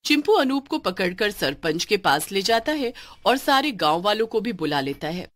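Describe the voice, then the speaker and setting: A woman's voice: medium pitch, sing-song, moderate pace, a bit bright. A woman reading a scripted story as its narrator.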